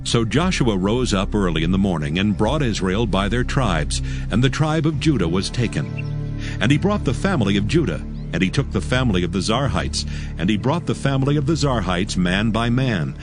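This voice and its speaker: A man's voice: lowish voice, big pitch swings, moderate pace. A man narrating a dramatized Bible reading in a formal, solemn manner. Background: loud background music.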